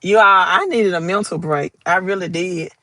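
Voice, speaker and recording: A woman's voice: on the low side, moderate pace, fairly bright tone, no echo. A woman with a Southern accent hosting a casual crypto livestream from her phone, chatting, greeting viewers by name and reading their comments aloud. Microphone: phone held vertically.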